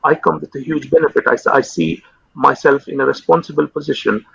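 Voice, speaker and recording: Gravelly halting man on a video call. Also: a little high, steady tone, a bit bright, dry recording. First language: Urdu. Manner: halting, many fillers and repeated words. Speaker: consultant psychiatrist guest. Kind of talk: interview over video call. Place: home room with window. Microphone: video call webcam. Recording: dull lo-fi video call audio.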